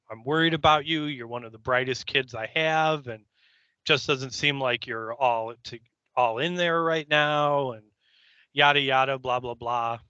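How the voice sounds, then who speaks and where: A man's voice: medium pitch, bright, forceful tone, clean tone, no room sound, lo-fi sound. A man co-hosting a podcast, casually recapping a story in a joking, sweary, rambling way. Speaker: podcast co-host. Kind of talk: casual recap of a TV episode, chatty banter.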